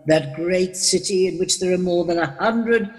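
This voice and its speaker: A woman's voice: on the low side, normal speed, natural voice. A grave, reproving God voiced by an older woman in a scripture drama. The delivery is solemn and stately, ringing in a long cavernous reverb.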